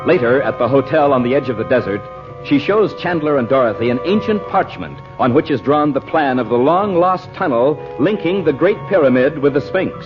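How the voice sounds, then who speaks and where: Dramatic old-time male radio announcer, animated. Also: mid-range voice, brisk. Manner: formal, dramatic delivery. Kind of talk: old radio serial narration and advertising. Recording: dull old radio recording with music. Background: music.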